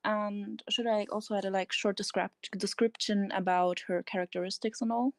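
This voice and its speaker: A woman with a German accent, answering interview questions in a hesitant, tired and slightly nervous way, with many pauses and ums. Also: mid-range voice, level tone, no room sound. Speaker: interview guest. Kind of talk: casual interview over voice chat.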